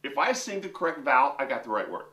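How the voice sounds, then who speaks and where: A man's voice: medium pitch, big pitch swings, fast, pushed and bright. A middle-aged man, a vocal coach and opera singer, giving a chatty, joking lesson straight to his viewers from a room with a piano. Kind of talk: instructional talk to camera. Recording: roomy sound, mic at a distance.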